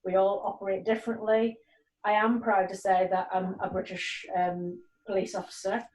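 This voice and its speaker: A woman's voice: mid-range voice, level tone, moderate pace, a little mellow. A woman in her forties, a police community cohesion sergeant, speaking earnestly on a video call with many ums and restarts. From Nigeria and Northern Ireland. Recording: roomy, distant video call audio.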